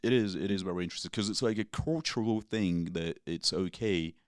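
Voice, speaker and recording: Deep-voiced man in his forties. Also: fairly quick, no echo, studio quality. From Russia, raised in England. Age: 40s. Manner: laid-back, swears freely, British slang. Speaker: guest. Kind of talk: casual interview chat.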